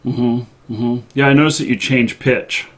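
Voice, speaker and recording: A man with a soothing voice hosting a casual interview, talking in a hesitant, halting way. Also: medium pitch, fairly even intonation. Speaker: show host interviewing guests. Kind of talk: casual chat.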